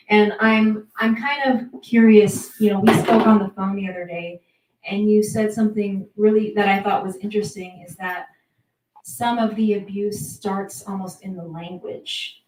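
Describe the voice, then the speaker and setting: A woman moderating a panel and asking halting questions with many pauses. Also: medium pitch, a little mellow, a little hiss or hum. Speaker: event host, moderator. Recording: echoey room, distant mic.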